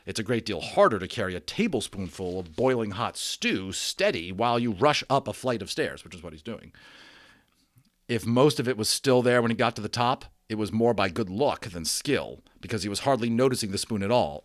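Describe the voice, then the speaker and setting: A man's voice: on the low side, no room sound, studio quality. A man reading a children's novel aloud as a dramatic narrator, theatrical, with informal asides to his listeners. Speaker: storybook reader.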